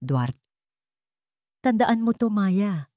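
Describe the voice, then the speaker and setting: A woman's voice: medium pitch, lively intonation, drawn-out words, warm tone, dry recording, clean studio sound, voice pushed up. A woman voicing a bitter, drunken father in an angry, accusing, melodramatic storybook telling. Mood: brooding.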